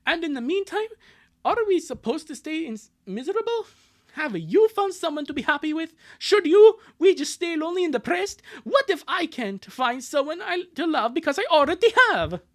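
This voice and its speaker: Guy doing a sad little cartoon boy, higher voice, pleading and stumbling, blames himself. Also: big pitch swings, full character voice. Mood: miserable, pleading. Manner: halting, earnest, pleading. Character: heartbroken, self-blaming young cartoon boy. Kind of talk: live amateur cartoon fanfiction dub.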